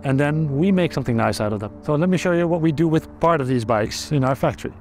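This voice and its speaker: A man's voice: fairly high pitch, lively intonation, fast, darkish tone, faint music behind. A middle-aged man from the Netherlands with a Dutch accent, speaking as a company founder in a documentary interview, thoughtful and often restarting his sentences. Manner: thoughtful, many restarts and fillers.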